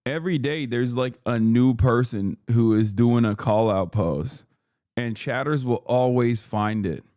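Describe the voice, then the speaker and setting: Male streamer, 30s, mid-pitched, bantering with chat, swears a lot, dry close sound. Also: a little slow, no room sound. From Turkey. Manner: loud, joking, slangy, swears freely. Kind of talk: casual livestream chat.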